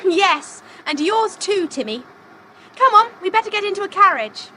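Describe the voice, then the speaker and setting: An actress playing an eager, polite young schoolgirl adventurer in an audiobook drama, excitable and sweet-mannered. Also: very high-pitched, normal speed, noisy background. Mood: delighted, friendly.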